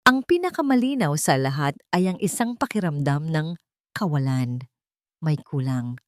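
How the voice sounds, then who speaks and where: A woman's voice: sits low, animated, normal speed, no echo, clean studio sound. A woman with a Filipino accent reading a scripted dramatic story as narrator.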